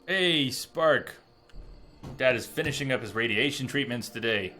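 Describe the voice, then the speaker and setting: A man's voice: mid-range voice, animated, unhurried, sharp tone. A man giving casual live gameplay commentary as a streamer, rambling through explanations in a joking way. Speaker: game streamer. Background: game music.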